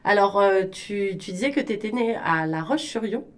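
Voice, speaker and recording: A woman's voice: mid-range voice, animated, normal speed. A woman interviewing a guest on radio in a casual, chatty and informal way.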